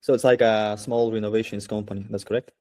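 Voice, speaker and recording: A man in a casual business chat, speaking English as an in halting, agreeable phrases. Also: fairly low pitch, level tone, a little mellow, no room sound. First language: not English. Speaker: prospective client.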